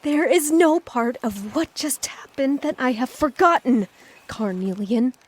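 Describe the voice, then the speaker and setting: An actress playing a devout, proud and troubled priestess in a video game cutscene, speaking solemnly and with command. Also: female voice, high voice, expressive, unhurried, husky, exaggerated voice. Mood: stern.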